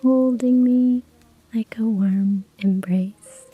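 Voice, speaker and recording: A woman narrating a cozy story in a hushed ASMR whisper, with soft laughs. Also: on the high side, steady tone, takes it slowly, breathy edge, narrow, tinny audio, faint music behind.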